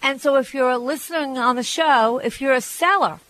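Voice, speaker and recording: A woman hosts a call-in advice radio show, her voice sitting a little high. She talks in a frank, chatty way, often breaking off and restarting her sentences. The studio sound is close and completely dry. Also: normal speed, fairly bright tone, no room sound, low-quality recording.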